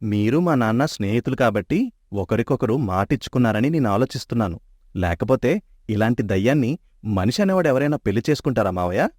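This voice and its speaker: An actor voicing a cheeky young man in an animated story, who talks playfully and persuasively. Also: mid-range voice, no echo, close to an everyday voice. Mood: teasing. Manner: playful, quick-tongued and persuasive. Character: cheeky young man, bickering childhood friend. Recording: dry close studio mic.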